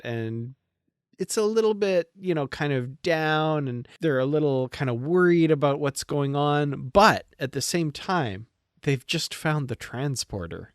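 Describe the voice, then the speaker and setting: A man's voice: medium pitch, clean tone, dry recording, clean studio sound. A man co-hosting a podcast, casually talking through a TV episode and chuckling as he goes. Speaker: podcast co-host. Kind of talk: casual TV episode review chat.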